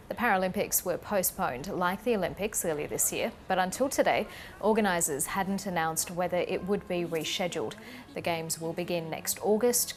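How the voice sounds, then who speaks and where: A woman's voice: fairly low pitch, a little hiss or hum. A woman with an Australian accent reading scripted news as a presenter.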